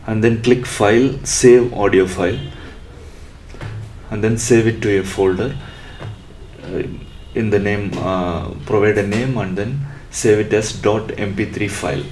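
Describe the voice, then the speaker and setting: A man presenting an electronics tutorial step by step in a halting, instructional way. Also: on the low side, steady tone, a little mellow, slight background noise.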